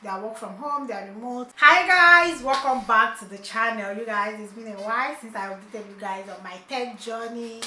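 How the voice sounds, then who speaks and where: Vlogger, young woman, fairly high bright voice, chatty and repetitive, echoey room with faint noise. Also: moderate pace, hardly any pauses. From not from China. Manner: chatty, repeats "okay?" and "you guys". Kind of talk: casual vlog update to viewers.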